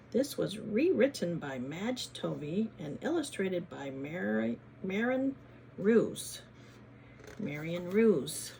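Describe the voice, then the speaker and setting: Expressive, slow-paced woman reading to children. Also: mid-range voice, gravelly, slight background noise. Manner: teacherly, questioning, expressive. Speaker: children's read-aloud host, former teacher. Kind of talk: storybook reading with chatty asides to children.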